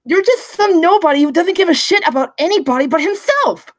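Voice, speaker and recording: A woman's voice: very high voice, lively intonation, a little fast, no echo, clean studio sound. A woman playing a principled, frantic female space scavenger in a screenplay table read. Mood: angry, hurt.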